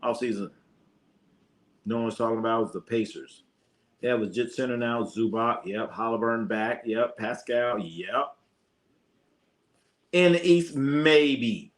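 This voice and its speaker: A man's voice: mid-range voice, measured pace, pauses often. Male sports stream host, American, mid-range voice, blunt and slangy, conversational, hammers points by repetition. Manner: blunt, slangy, repeats phrases for emphasis. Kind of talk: casual live sports commentary, opinionated chat.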